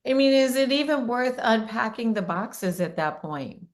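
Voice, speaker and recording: Raspy middle-aged woman hosting a podcast. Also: on the high side, lively intonation. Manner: chatty, quick bursts, laughs often. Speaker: podcast host, realtor. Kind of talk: casual conversational podcast.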